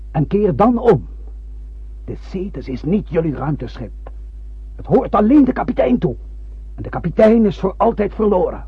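An actor in a radio play voicing a mysterious, ominous prophet, theatrical and insistent, firing off rhetorical questions and warnings. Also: male voice, a little high, slightly rough, dry recording, exaggerated voice. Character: mysterious, ominous prophet warning young spacemen off a doomed voyage. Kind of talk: radio drama acting. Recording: dull, dry old radio play recording.

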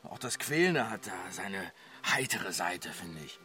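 An actor playing a sardonic, hard-drinking husband in a radio drama, talking in a mocking, wry way. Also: male voice, deep voice, big pitch swings, unhurried, bright, forceful tone, soft background music, heavily acted. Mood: mocking amusement. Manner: mocking, wry, drawn to dry remarks. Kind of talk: naturalistic German radio drama acting.

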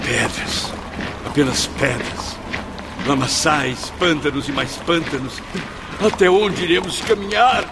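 A man's voice: on the high side, takes it slowly. An actor playing an indignant, tormented nobleman in a melodramatic, declamatory radio drama style, with noise behind him. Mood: weary, bitter. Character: anguished, indignant nobleman trapped in a netherworld. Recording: loud background noise under the voice.

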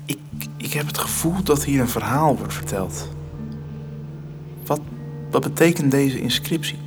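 An actor playing an obsessed, resolute teenage boy in a radio play, with a medium-pitched young male voice. He speaks haltingly and with great intensity, over a soft bed of music. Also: lively intonation, lightly acted. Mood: awed, intense. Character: earnest, obsessed, determined teenage son. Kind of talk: radio drama dialogue. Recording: music under the voice.

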